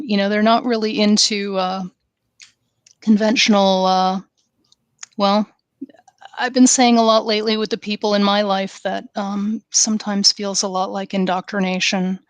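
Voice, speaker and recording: Woman, podcast co-host, smooth clear tone, flat delivery, lots of ums and uhs. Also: medium pitch, dry recording. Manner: many fillers, halting, earnest. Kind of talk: casual podcast discussion.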